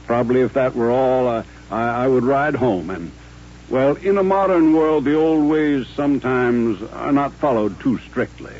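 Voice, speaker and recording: An actor playing a solemn, courteous Southern gentleman colonel with a formal, reflective manner in an old radio western. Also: medium pitch, normal speed, natural voice. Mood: solemn, earnest. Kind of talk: 1950s radio western acting. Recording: dull, humming old-time radio recording.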